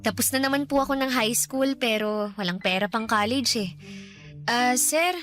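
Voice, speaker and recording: A woman's voice: on the high side, normal speed, fairly bright tone, soft background music, lightly acted. An actress playing a polite, caring eighteen-year-old housemaid in a radio drama, fussing and hesitant as she speaks. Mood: gentle, caring. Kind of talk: Tagalog radio drama acting.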